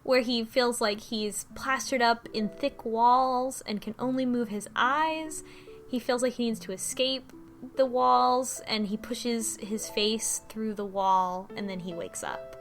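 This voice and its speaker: A woman co-hosting a podcast, giving a casual, rambling recap with animated retellings and music behind her. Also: fairly high pitch, slow pace. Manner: animated retelling with mock quotes. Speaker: podcast co-host recapping a game.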